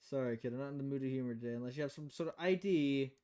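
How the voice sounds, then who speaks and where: A woman voicing a stern, world-weary female bartender in a visual novel, deadpan, blunt and measured. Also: very deep voice, voice pushed down.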